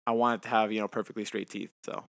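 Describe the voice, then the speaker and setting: A young man in his twenties chats casually as a podcast co-host, speaking with a noticeable lisp. His voice is bright and forward, recorded close and dry with no room sound. He rambles and pokes fun at himself. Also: on the low side, level tone, fast talker, vocal fry, no room sound. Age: 20s. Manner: talks with a lisp from new aligner trays; chatty, self-mocking. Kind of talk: casual chat.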